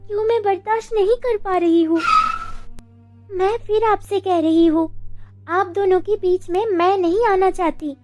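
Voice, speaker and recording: A woman voicing an emotional young woman in love, with a plaintive, dramatic delivery in an animated love story. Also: very high voice, a little slow, soft background music. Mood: tearful, confused.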